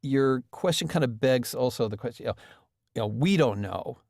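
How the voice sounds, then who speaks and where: A man's voice: mid-range voice, lively intonation, moderate pace, creaky, darkish tone, dry recording, studio quality. A man chatting casually in an interview, effusive and feeling his way through his thoughts. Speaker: audio drama director being interviewed.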